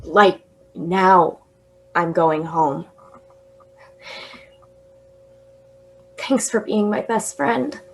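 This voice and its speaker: A woman's voice: on the low side, animated, slow, vocal fry, slight background noise, exaggerated voice, rough recording. An actress in a radio drama playing a young woman at college, tipsy and stammering. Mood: grieving. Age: young adult. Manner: hesitant, stammering. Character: young woman, college student far from home, tender. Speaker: college student.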